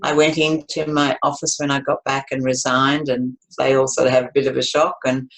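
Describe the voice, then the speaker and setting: A woman's voice: rumbling low, little pitch movement, fairly quick, rough recording. A woman in her seventies, telling her life story to an interviewer in a rambling, chatty way, with many restarts. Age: 70s. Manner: rambling, warm storytelling with frequent restarts and laughs. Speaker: interview guest.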